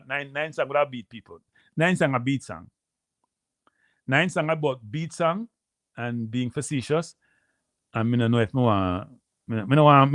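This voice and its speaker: A Jamaican man hosting a live stream, rambling casually to his viewers and repeating himself, with a deep voice. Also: a bit bright, dry recording, studio quality. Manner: rambling, repeats phrases, addresses viewers as people. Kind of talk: casual livestream chat.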